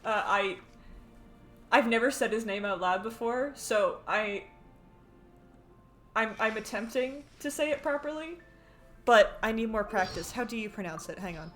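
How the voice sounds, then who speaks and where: A woman from Canada, chatting excitably and rambling while she live-streams a game. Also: fairly high pitch, a little slow, pauses often, soft background music.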